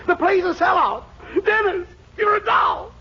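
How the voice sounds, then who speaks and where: Excitable, sputtering high-voiced theater producer, old radio. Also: male voice, measured pace, vocal fry, shouting, heavy background noise, exaggerated voice. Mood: gleeful. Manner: flustered, sputtering, exclamatory. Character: excitable, blustering male theater producer, easily outraged or overjoyed. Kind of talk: 1940s radio comedy acting. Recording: muffled vintage 1940s radio broadcast.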